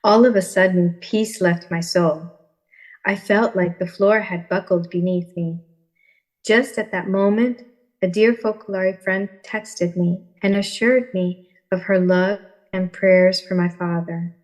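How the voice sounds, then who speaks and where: Female podcast guest, medium pitch, personal storytelling. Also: fairly even intonation, a little slow, low-quality recording.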